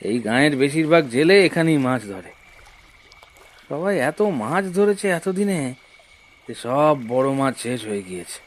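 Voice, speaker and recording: A man's voice: fairly high pitch, animated, normal speed, natural voice. A man voicing a plain-spoken, decisive village fisherman in a Bangla cartoon. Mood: resigned.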